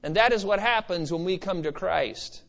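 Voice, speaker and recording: A man's voice: sits high, moderate pace, creaky, a bit bright. A man preaching an expository sermon in an emphatic, exhorting manner with conversational asides. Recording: slightly dull, lo-fi sermon recording.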